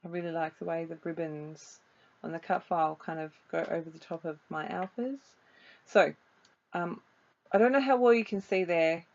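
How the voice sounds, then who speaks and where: A woman with a slightly croaky voice, casually narrating a voice-over for her craft video in a chatty way with frequent ums. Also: fairly low pitch, moderate pace, darkish tone, dull audio.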